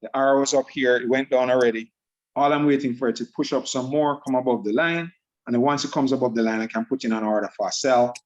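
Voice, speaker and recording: A man, giving an upbeat, persuasive sales pitch about a trading program over a video call. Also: fast, dull audio. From Jamaica. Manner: upbeat, persuasive, repeats words.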